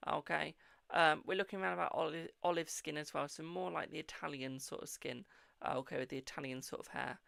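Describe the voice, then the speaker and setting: A man's voice: a little high, fairly even intonation, a little fast, creaky, sharp tone, no echo, studio quality. A man hosting a live-stream tarot reading, answering viewers' questions in a chatty, reassuring way and calling them "my darling".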